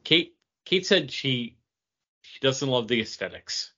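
Animated, bright-voiced man, jokey podcast banter. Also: medium pitch, slow, dull audio. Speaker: podcast host. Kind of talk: casual podcast chat and banter.

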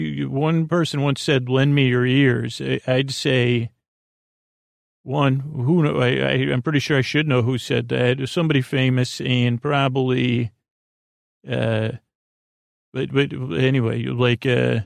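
A man with a creaky voice hosting a bedtime podcast in a halting, meandering monologue, gently reassuring as he stammers and circles back on his phrases. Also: medium pitch, no room sound, studio quality. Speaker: podcast host.

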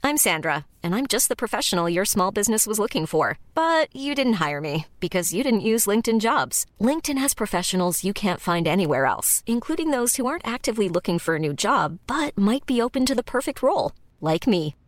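Woman as a self-assured professional pitching herself, lively pitch, dry studio read. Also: brisk, few pauses, no echo, lightly acted. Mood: confident. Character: confident professional woman job seeker. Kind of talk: scripted radio ad read.

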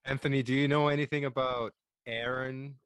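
A man's voice: mid-range voice, level tone, normal speed, a bit bright, clear, resonant tone, no room sound. A man on a podcast panel in casual, joking chat.

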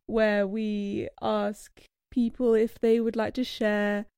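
A woman co-host speaks in a mellow, slightly gravelly voice that sounds close and dry. She rambles a little and makes fun of herself in casual chat. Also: mid-range voice, little pitch movement, slow pace, no echo. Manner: self-deprecating, rambling with fillers. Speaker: podcast co-host.

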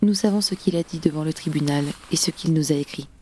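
A woman's voice: deep voice, fast, warm tone, a little hiss or hum, lightly acted. An actress playing an anguished, defiant young revolutionary woman in a radio play, grave and intense. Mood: grave, insistent. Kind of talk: French radio play acting.

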